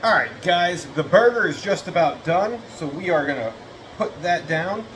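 A man's voice: high voice, animated, moderate pace, background noise. A man in his thirties chatting casually to camera in his home kitchen as he cooks and taste-tests, rambling and self-deprecating. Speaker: home cooking vlogger. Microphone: fixed camera across the counter. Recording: echoey kitchen.

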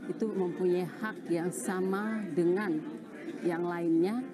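A woman from Indonesia who works in a pharmacy warehouse, speaking simply and haltingly in a short film. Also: lowish voice, steady tone, crowd noise. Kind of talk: interview clip in a short film. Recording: film clip with music underneath. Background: music.

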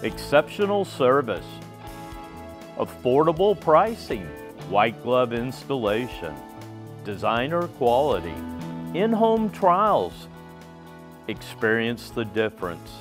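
A man with an unhurried, measured delivery reads his own shop's commercial. His pitch moves quite a bit, and faint music runs underneath. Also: sing-song. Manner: slow, measured. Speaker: business owner in an ad. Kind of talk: scripted ad voice-over.

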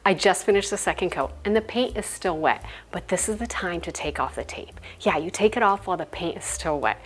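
A woman's voice: on the low side, a little fast, faint music behind. A woman in her thirties hosting a home DIY tutorial at home, chatty and self-deprecating with playful asides, on a lapel mic. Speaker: home decor YouTuber. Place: home interior, hallway and craft table.

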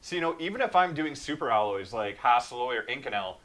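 A man in his thirties hosting a casual, animated shop talk and walking through a website, recorded in a large machine shop. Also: mid-range voice, fast. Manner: animated, gestures broadly while talking. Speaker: show host. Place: machine shop floor, large indoor space.

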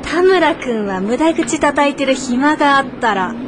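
A woman playing a brisk, conscientious, scolding office colleague in a radio drama. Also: sits high, animated, background noise. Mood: stern, businesslike. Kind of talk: naturalistic radio drama acting.

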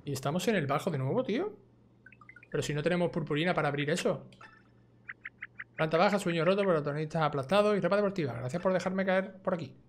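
A man's voice: fairly high pitch, lively intonation, fast talker, a little hiss or hum. A young man with an accent from Spain, chatting casually through a let's play and reading game dialogue aloud in an informal way. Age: young adult. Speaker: gaming YouTuber. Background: video game music.

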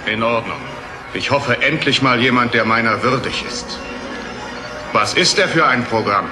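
A man's voice: medium pitch, moderate pace, music in the background, close to an everyday voice. An actor playing an arrogant villainous program commander who is uneasy before his master, in a German radio play. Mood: haughty.

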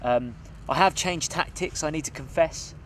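A man's voice: a little high, moderate pace, a little hiss or hum. A young man, co-hosting a fishing show, seated at a lakeside and talking through his tactics with some ums. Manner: relaxed, hesitant with ums, confiding. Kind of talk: casual outdoor fishing commentary.

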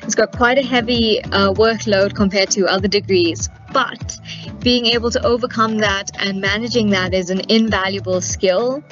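Brief: young woman, measured testimonial, dull webcam sound. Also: mid-range voice, steady tone, a bit bright, soft background music. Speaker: recent graduate. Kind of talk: testimonial to camera.

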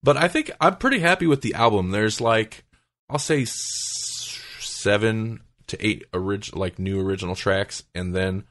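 A man's voice: on the low side, expressive, measured pace, fairly bright tone, no echo, clean studio sound. A man hosting a podcast in casual chat, with a joking, rambling manner. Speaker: podcast host.

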